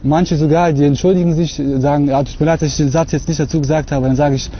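A young man from Russia talking to camera outdoors on a lawn, earnest and hesitant, filling pauses often. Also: fairly high pitch, steady tone, dull audio, a little hiss or hum. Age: young adult. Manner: hesitant, many fillers, earnest. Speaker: convert giving a testimony. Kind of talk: unscripted talk to camera.

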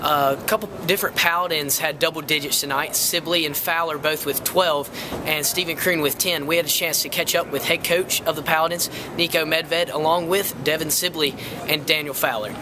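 Young male sports reporter, slightly high voice. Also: steady tone, fairly quick, background noise. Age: young adult. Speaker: student sports reporter and host. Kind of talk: postgame interviews. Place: indoor basketball arena.

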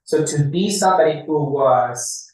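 A man's voice: on the high side, a little slow. Man lecturing in an echoey room, distant. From Ecuador. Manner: explanatory, often tags points with "right?". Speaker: professor giving a public talk. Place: library meeting room.

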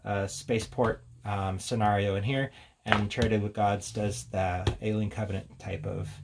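A man's voice: on the low side, steady tone, moderate pace, vocal fry, background noise. A man giving a casual, off-the-cuff product review in an informal, chatty way as he presents. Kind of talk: casual unboxing and review chat.

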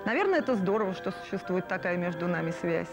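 A woman's voice: on the low side, expressive, fast. A middle-aged woman giving personal reminiscences in a documentary interview. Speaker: artist, interviewee. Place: indoor room among puppets. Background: music.

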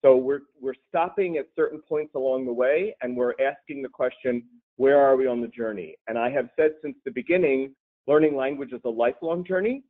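A male high school language teacher talking on a panel, with wry, self-deprecating humor. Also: a little fast. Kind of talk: casual panel discussion. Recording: tinny phone-like video call audio.

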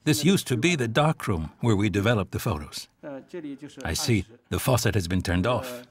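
A man giving a measured, reflective voice-over translation for a documentary interviewee. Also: lowish voice, expressive, a crowd in the background.

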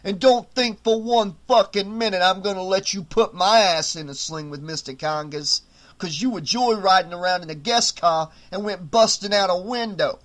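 A man's voice: sits high, moderate pace, a bit bright. A man voicing a brutish, bullying hotel supervisor who snarls profane threats. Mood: threatening.

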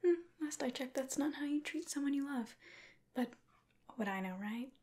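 A young woman playing a tough, sarcastic female rescuer in an ASMR roleplay, breathy, hushed and offhand. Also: sits high, lively intonation, moderate pace. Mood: sardonic, threatening. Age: 20s. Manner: sardonic, offhand. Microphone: close microphone.

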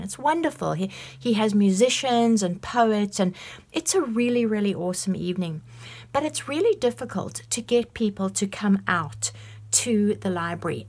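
A middle-aged woman hosting her own vlog, talking chattily into a phone held at arm's length, with wry asides. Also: medium pitch. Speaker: vlog host and author. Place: home room with bookshelves, then a large community hall.

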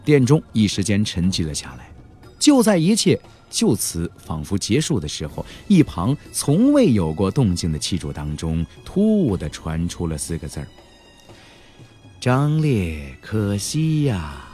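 A man narrating an audiobook in a dramatic storytelling style, expressive, with drawn-out emphasis. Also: deep voice, faint music behind.

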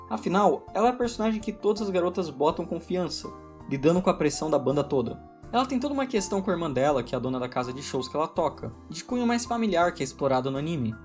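Fast, wry male video essay narrator. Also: on the high side. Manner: brisk, opinionated, wry delivery.

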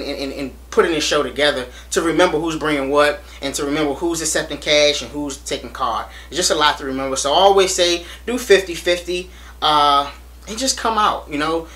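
A young American man vlogging to camera in a small room, hyped and animated, repeating himself as he urges viewers to come. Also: a little high. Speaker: reptile channel vlogger. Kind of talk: casual to-camera promo vlog. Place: small indoor room against a plain wall.

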